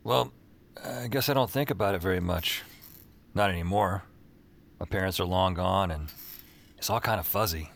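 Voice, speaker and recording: A man's voice: low voice, moderate pace, vocal fry, pauses often. An actor playing a wry, self-deprecating young man who narrates his bewildering adventures in an audio drama. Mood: reminiscing. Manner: casual, wry, colloquial. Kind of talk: audio fiction acting with first-person narration.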